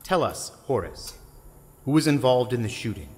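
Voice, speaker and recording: A man's voice: medium pitch, sing-song, normal speed, natural voice. Guy doing tough cop grilling a prisoner, radio play, long reverb. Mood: stern, probing. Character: stern, demanding male lawman interrogating a prisoner. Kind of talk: audio drama acting. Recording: long hall reverb, faint music.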